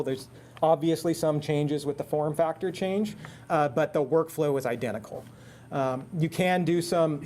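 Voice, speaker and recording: Guy doing a product demo talk, voice a bit on the high side, explains stuff conversationally. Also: a little mellow. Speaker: product presenter for an intercom manufacturer. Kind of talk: product seminar presentation.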